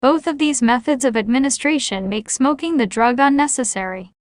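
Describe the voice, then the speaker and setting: A woman with a fairly high voice reads prepared passages aloud in a scripted style. The sound is very dry, with no room around the voice. She serves as a model reader for test practice. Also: fairly quick, no echo.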